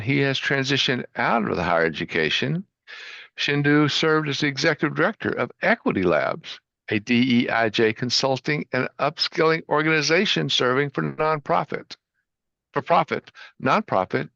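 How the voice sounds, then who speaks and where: Upbeat male host, gravelly and bright, on a dry, slightly dull video call line. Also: on the high side, no room sound. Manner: upbeat, enthusiastic. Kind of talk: online show introduction.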